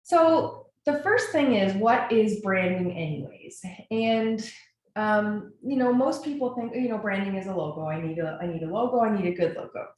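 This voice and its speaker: A woman from Nova Scotia with a Canadian accent gives an informal webinar presentation in an earnest, enthusiastic way, pausing often as she goes. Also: medium pitch, darkish tone. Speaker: webinar presenter, brand strategist. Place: indoor echoey room, online webinar. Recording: very echoey room, faint noise.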